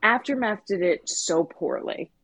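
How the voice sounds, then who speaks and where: A woman's voice: medium pitch, unhurried, a bit bright. A woman co-hosting a podcast, discussing fiction in a casual, conversational and emphatic way. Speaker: podcast co-host.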